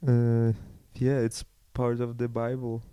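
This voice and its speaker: A young man from Poland co-hosting a comedy podcast, with dry deadpan humor. His voice is smooth, mellow and clear, recorded close and dry. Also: medium pitch, takes it slowly, dry recording, dull audio. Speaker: podcast co-host. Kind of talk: casual comedic chat.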